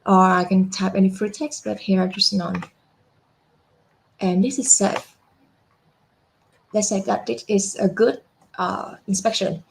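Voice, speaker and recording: Woman, young adult, software demo presenter, creaky voice, slow pace, filler-heavy. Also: mid-range voice, steady tone, a little hiss or hum, low-quality recording. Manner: unhurried, frequent "uh" fillers and self-corrections. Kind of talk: screen-share software demo walkthrough.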